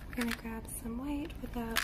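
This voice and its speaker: Soft-spoken young woman, even ASMR delivery. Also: a little high, fairly quick. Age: young adult. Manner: speaks softly. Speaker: illustrator narrating a drawing tutorial. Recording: noisy, with paper and pencil sounds. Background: noise, writing and paper sounds.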